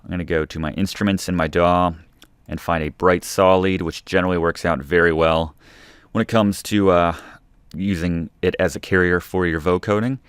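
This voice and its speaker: A man with a very low, smooth voice talks through a how-to as the host of a tutorial. His delivery is informal and explanatory. The recording is dry, with hardly any room sound. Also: fairly even intonation, moderate pace, no echo. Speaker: music production tutorial host. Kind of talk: casual instructional screen-recording narration.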